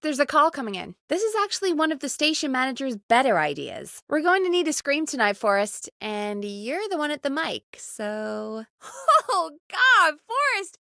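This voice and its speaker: A small-town woman radio producer in a video game. Her voice sits high and swings widely in pitch as she chats, earnest and playfully teasing. The sound is dry and clean, with no room around it. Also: bright, forceful tone, no room sound, full character voice. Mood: warm, caring, then amused, goading. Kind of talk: video game voice acting, conversational.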